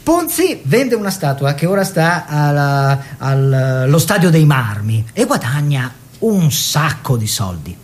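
An actor playing a self-satisfied, worldly art-collector husband who tells stories with wry emphasis in a radio play. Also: male voice, fairly high pitch, very animated, measured pace, few pauses. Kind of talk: theatrical radio storytelling in dialogue.

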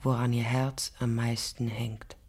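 An actress playing a tender, firm German mother in a radio drama, speaking deliberately, like a solemn storyteller. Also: extremely low pitch, little pitch movement, heavy background noise. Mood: solemn, ominous. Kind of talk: radio drama acting, fairy-tale storytelling. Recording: music behind the voice.

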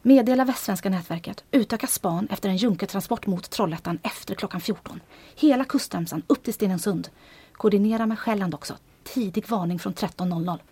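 An actress playing a commanding resistance captain with a husky voice, giving crisp orders. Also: female voice, mid-range voice, dark tone.

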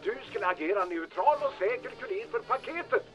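A man's voice: sits high, expressive, a bit bright, highs cut off, soft background music. A man acting an imperious, hot-tempered baron in an audio drama, with a demanding, scolding manner. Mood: furious.

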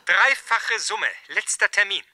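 An actor playing an apologetic yet insistent blackmailer in a German radio drama, formal and officious. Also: male voice, high-pitched, lively intonation, measured pace, pushed and bright, heavily acted.

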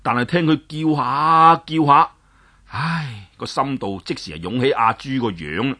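A man telling a traditional story, dramatic and expressive, swinging his pitch up and down. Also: unhurried.

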